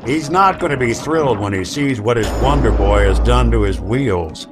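An actor playing a dry, world-weary detective in a noir radio drama, wry and streetwise. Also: male voice, medium pitch, animated, normal speed, over music, close to an everyday voice.

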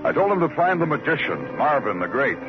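An American actor playing a wry, world-weary, laconic police detective narrating an old-time radio drama. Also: male voice, on the high side, big pitch swings, brisk. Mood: wry, brooding. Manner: laconic, hard-boiled, poetic. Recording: dull old radio recording with music.

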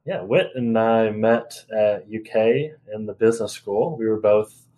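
A man's voice: lowish voice, measured pace, mellow. A young man co-hosting a podcast in casual conversation. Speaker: podcast co-host.

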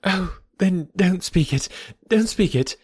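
A man voicing a young gentlewoman who speaks eagerly and earnestly in a period audiobook. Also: mid-range voice, no echo, studio quality, voice pushed up, natural voice. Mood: eager pleading. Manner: quick eager pleading.

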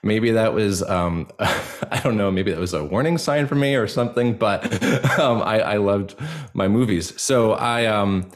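Male podcast guest with a low voice, casual and informal, feeling his way through a personal story. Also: a little slow.

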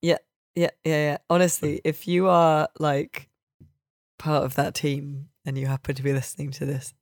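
A woman's voice: low voice, flat delivery, normal speed, no room sound. Female podcast host. Informal, discursive conversational delivery, recorded dry with no room sound. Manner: informal, discursive, peppered with "like" and "you know". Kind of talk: casual chat.

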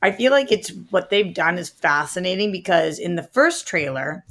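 Lady cohost on a film podcast, kinda low voice, chatty, really into it. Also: lively intonation, normal speed, fairly bright tone. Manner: emphatic, enthusiastic fan talk. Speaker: podcast co-host. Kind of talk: casual film discussion chat.

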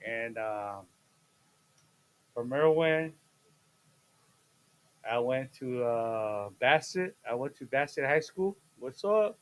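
A man in his fifties, a guest chatting casually on a podcast, playful and jokey, with sudden loud bursts and laughs mid-sentence. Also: mid-range voice, animated, slow pace, long pauses. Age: 50s. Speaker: guest, host's father.

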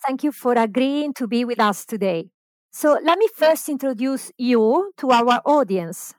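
A woman hosting a podcast, giving a formal, measured introduction read from notes. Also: fairly high pitch, no echo. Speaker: podcast host. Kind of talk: scripted introduction and background narration.

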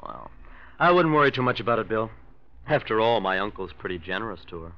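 An actor playing a scheming, hypocritical nephew who feigns friendly concern, in 1940s radio drama style. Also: male voice, lowish voice, animated, fast. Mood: falsely friendly. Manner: falsely casual, insinuating. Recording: dull old radio recording.

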